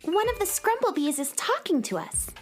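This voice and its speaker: A kind, curious girlish heroine, played by a woman in a children's space adventure on radio. Her voice is very high and expressive, polite and concerned, with music behind. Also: normal speed, exaggerated voice. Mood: kindly. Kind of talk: children's radio drama acting.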